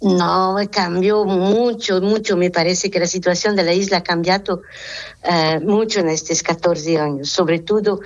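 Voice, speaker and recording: Nun with a Portuguese accent, halting. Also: female voice, fairly low pitch, fairly even intonation, dull audio. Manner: halting, searching for words. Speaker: nun, interviewee. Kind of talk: phone interview.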